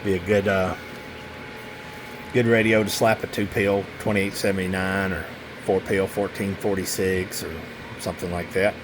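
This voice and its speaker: A man's voice: low voice, level tone, moderate pace. Man rambling casually, noisy recording. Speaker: radio seller demonstrating a CB radio. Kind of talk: casual hands-on product demo.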